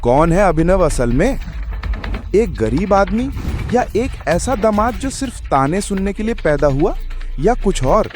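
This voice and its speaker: Guy narrating audio drama, suspenseful, really animated, music behind him. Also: high voice, measured pace. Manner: suspenseful, theatrical. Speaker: story narrator.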